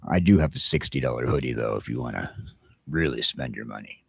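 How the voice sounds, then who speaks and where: A man's voice: extremely low pitch, animated, fairly quick, creaky, slightly rough, no echo, studio quality. A man hosting a casual morning talk show, chatting in a joking, self-deprecating way.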